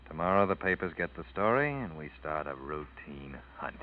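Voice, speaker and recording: An actor playing a gruff, terse, matter-of-fact police detective in a 1940s radio drama. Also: male voice, rumbling low, expressive, moderate pace. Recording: thin, phone-like old radio recording.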